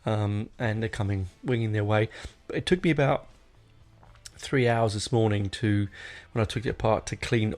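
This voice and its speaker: A man's voice: on the low side, moderate pace, darkish tone. A middle-aged man hosting a podcast in a casual, unscripted way, wandering off on tangents and correcting himself as he goes. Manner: rambling, digressive, self-correcting. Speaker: podcast host.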